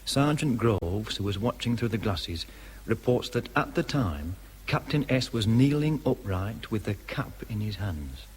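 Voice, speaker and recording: A man's voice: on the low side, big pitch swings, unhurried, a little hiss or hum. An actor playing a formal, impassive military man reading out an official log in a radio drama. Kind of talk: radio drama, official report read aloud.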